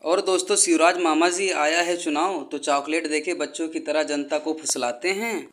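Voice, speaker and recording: A man's voice: fairly high pitch, fairly even intonation, normal speed, fairly bright tone, slight background noise. A man delivering scripted satirical narration as a video host, mockingly addressing viewers as his friends.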